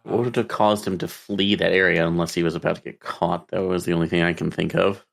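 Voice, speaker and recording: Really low creaky guy, casual rpg player, sorta thinking out loud. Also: steady tone, fairly quick. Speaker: player in a tabletop roleplaying game. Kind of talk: casual game table discussion.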